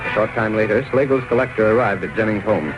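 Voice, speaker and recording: A male American narrator of an old radio serial, reading dramatically at a brisk clip. His voice is fairly low, and the recording is muffled with faint hiss. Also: music in the background.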